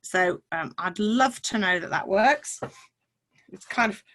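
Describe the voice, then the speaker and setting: Woman webinar host, middle pitch, relaxed and wry, casual asides. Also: slow pace. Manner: wry, informal, self-deprecating. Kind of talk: online teaching chat. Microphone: video call.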